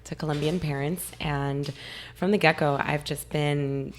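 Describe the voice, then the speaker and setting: A young woman from California, slightly hoarse, talking eagerly as a podcast guest. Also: low voice, steady tone, unhurried. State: a little hoarse. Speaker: guest nutritionist. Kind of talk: casual interview talk.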